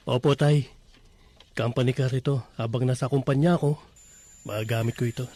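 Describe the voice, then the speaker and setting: Man acting easygoing, husky grown Filipino son. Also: mid-range voice, steady tone, normal speed, darkish tone, close to an everyday voice. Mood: easygoing, amused. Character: respectful grown son, an engineer, easygoing and devout. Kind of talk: Filipino religious radio drama acting.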